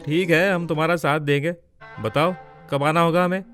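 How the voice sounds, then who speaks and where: High-pitched, wary gambler crook played by a man. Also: fairly even intonation, normal speed, fairly bright tone, faint music behind, close to an everyday voice. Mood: agreeing. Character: nervous, wary male gambler turned hired bandit. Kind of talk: dramatised Hindi moral story.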